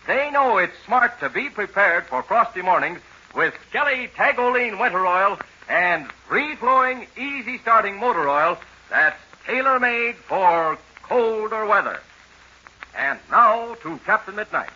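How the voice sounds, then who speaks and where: An American man works as announcer in 1940s radio style. His high, very bright voice swings animatedly, selling and narrating with dramatic emphasis for young listeners. The sound is that of a dull, slightly noisy old broadcast. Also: lively intonation, measured pace. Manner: emphatic, dramatic salesmanship addressed to children. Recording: dull old radio recording with faint noise.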